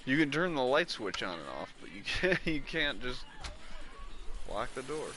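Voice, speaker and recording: A man's voice: medium pitch, sing-song, normal speed, noisy background. A man giving casual, bemused commentary as co-host of a video game playthrough. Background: video game soundtrack.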